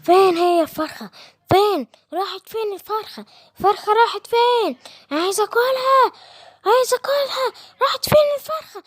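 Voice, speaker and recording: A woman's voice: very high-pitched, fairly quick, no echo, studio quality, voice pushed up. Woman doing a greedy whining cartoon camel. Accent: Egyptian Arabic. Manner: whining, insistent. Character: greedy hungry male camel.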